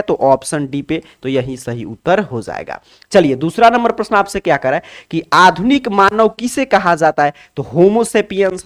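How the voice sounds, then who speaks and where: A man teaching an exam solution lesson, putting questions to students and answering them himself, repeating key phrases. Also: on the high side.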